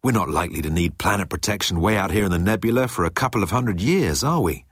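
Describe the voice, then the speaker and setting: A man voicing a breezy, slangy alien wheeler-dealer with a slick, flattering manner in an audiobook. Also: low voice, fast talker, breathy edge, dry recording, clean studio sound.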